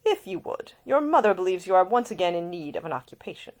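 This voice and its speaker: A woman voicing a composed, probing older gentleman, an uncle and lord who speaks formally and deliberately in an audiobook story. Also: on the low side, big pitch swings. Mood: gently pressing.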